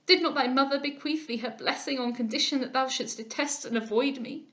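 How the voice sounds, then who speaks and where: A woman's voice: sits high, fairly quick, sharp tone. Woman voicing an anguished remorseful father. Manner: impassioned, declamatory outbursts. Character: remorseful aristocratic father, older man, anguished.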